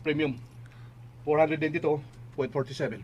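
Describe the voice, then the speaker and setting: A man's voice: a little high, steady tone, moderate pace, lo-fi sound, close to an everyday voice. A Filipino-accented actor playing an arrogant, impatient, short-tempered man in a radio drama, grumbling and snapping. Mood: irritated.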